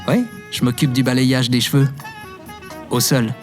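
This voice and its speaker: A man's voice: mid-range voice, little pitch movement, unhurried, faint music behind, close to an everyday voice. A man playing a devoted, self-deprecating young man who tells his story in a fiction podcast. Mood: wry, nostalgic. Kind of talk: fiction podcast acting with narration.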